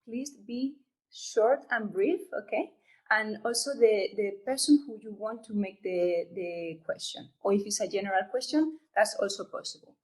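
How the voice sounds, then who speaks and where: A woman from Spain with a Spanish accent, hosting an online event over a video call, talking haltingly with many fillers and asking "okay?" as she goes. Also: medium pitch, poor audio. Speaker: event host. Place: home or office room.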